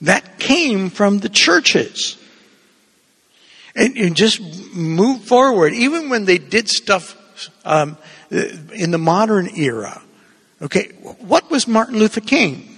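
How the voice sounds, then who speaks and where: A man from Cuba preaching a homily in an unhurried, meandering way, with a gravelly, husky voice. His words ring out with a long echo through the church. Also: high-pitched, sing-song, fairly bright tone. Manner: conversational, digressive preaching with asides.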